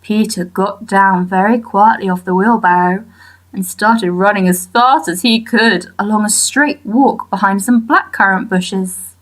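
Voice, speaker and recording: A woman narrating a children's story as an audiobook reader, expressive and with deliberate pauses. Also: mid-range voice, rough recording.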